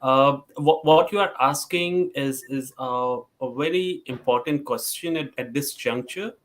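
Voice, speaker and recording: A man speaking as a parent on a panel, answering in a hesitant, halting way. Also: mid-range voice, fairly bright tone.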